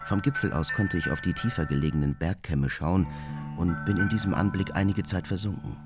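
A solitary hermit played by a male actor recites his nature diary for a radio drama. His voice is extremely deep, and his delivery is measured and contemplative. Prominent music plays under the words. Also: natural voice. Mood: wistful, enchanted. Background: prominent music with mallet percussion.

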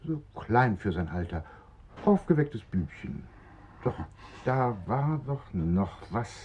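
Flustered santa witness in old radio drama, hesitant, husky, very animated pitch, slightly muffled sound. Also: male voice, slow pace, slight background noise. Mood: searching, earnest. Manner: hesitant, searching recollection with emphatic bursts. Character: flustered, earnest male department-store Santa Claus witness. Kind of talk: 1970s German radio drama acting.

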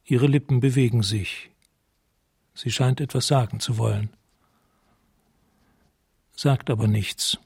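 Radio-play observer, husky, unhurried, detached, very dry close studio sound. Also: male voice, mid-range voice, steady tone, a little mellow, dry recording, exaggerated voice. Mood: detached, measured. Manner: clipped phrases, detached, measured. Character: detached observer narrating a man's night scene. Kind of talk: radio drama scene narration, present tense.

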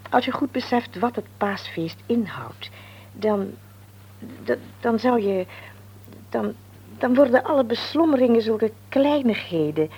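An actress playing a devout, gentle older mother in a radio drama, speaking earnestly and haltingly as she searches for words. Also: medium pitch, a little hiss or hum. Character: devout, gentle old mother counselling her stubborn son.

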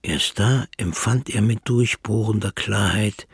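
A man's voice: fairly low pitch, unhurried, creaky, breathy edge, no room sound, clean studio sound. A man reading literary narration as the narrator of a drama.

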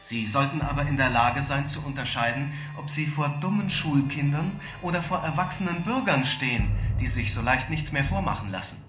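An actor playing a pompous, condescending local politician who gives a formal speech at a town meeting in a radio play. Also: male voice, fairly high pitch, lively intonation, moderate pace, few pauses, close to an everyday voice. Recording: roomy meeting hall with music behind.

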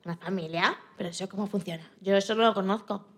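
A woman's voice: mid-range voice, fast, husky, lightly acted. A woman playing a small, timid, trusting young female rabbit in a childlike, plaintive way for a children's radio story. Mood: timid wonder. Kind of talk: amateur radio-play acting of a children's story.

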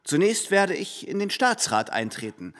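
An actor playing an eager, ambitious young man in a radio drama, with an excitable, boastful manner. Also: high-pitched, normal speed, no echo. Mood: boastful, excited. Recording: music behind.

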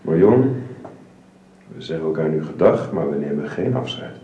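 A man's voice: a bass voice, animated, normal speed, highs cut off. A man playing a reserved, earnest father and employer who speaks formally and hesitantly in a radio drama with a roomy, hissy recording. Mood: gentle, formal.

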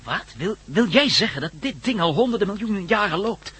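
A man's voice: high voice, expressive, a little fast, sharp tone, breathy edge, full character voice. A man playing an astonished, sceptical expedition member in a Dutch radio drama, stammering and questioning incredulously.

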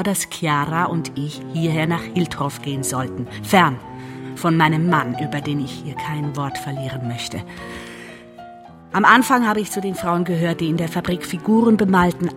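Low-voiced, weary, bitter woman. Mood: sad, intimate. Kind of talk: radio play monologue. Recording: music underneath the voice.

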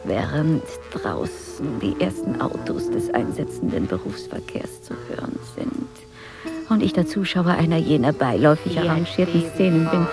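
Hushed, low-voiced dreaming woman. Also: gravelly, full character voice. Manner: hushed, deliberate, musing, with dramatic swings. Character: dreaming woman, wry, easily irked, narrating her dream. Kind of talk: intimate radio-play inner monologue.

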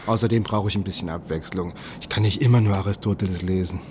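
Casting: man as nosy, dry police detective, lowish voice, naturalistic radio drama, some background noise. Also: fairly even intonation, quick pace, natural voice. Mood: wry, evasive. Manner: probing, dry, clipped questions. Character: inquisitive, wry male police detective, awkwardly casual.

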